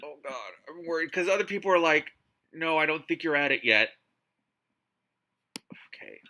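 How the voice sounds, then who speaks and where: A man hosting a live read-aloud of a book, mixing narration with casual, exasperated and expressive commentary. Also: on the high side, moderate pace. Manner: exasperated, expressive asides while reading.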